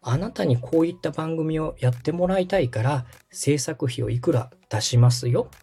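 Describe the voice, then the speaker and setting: Mellow-voiced man explaining podcasts politely. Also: a little high, moderate pace, creaky, husky. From Osaka, Japan. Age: 30s. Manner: polite, explanatory, many fillers. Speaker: podcast host and radio director. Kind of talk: explaining casual chat.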